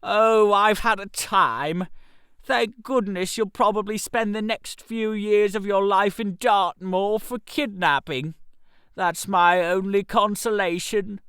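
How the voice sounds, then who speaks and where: A man's voice: high voice, slow pace, a bit bright, clear, resonant tone, dry recording, heavily acted. Man acting an exasperated, bitter friend, dry close sound. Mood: bitterly gloating. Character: aggrieved, blame-shifting male friend, melodramatically bitter. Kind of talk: comic radio-theater story acting.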